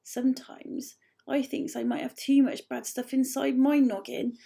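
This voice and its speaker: A woman's voice: high-pitched, flat delivery, measured pace, creaky, mellow, husky, full character voice. A woman voicing a scruffy male creature who talks in odd broken grammar. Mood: glum.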